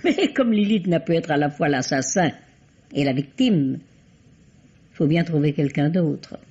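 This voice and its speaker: A man's voice: fairly high pitch, expressive, measured pace, darkish tone, husky, exaggerated voice. An actor playing a shrewd, courteous male sleuth in a wry, urbane old French radio drama manner. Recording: dull old radio recording.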